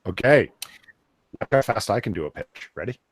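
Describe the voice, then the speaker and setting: A man's voice: fairly low pitch, animated, moderate pace, slightly rough, dry recording. A man chatting casually as a comics show panelist, opinionated and sweary as he reviews a book.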